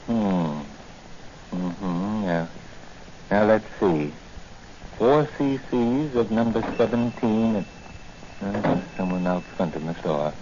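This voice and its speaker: Fussy, animated old scientist. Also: male voice, lowish voice, slow, pauses often. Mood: absorbed, obliging. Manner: fussy, muttering, repeats himself. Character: kindly excitable old scientist and shopkeeper, the hero's friend. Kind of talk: 1940s radio acting. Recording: muffled noisy 1940 radio recording.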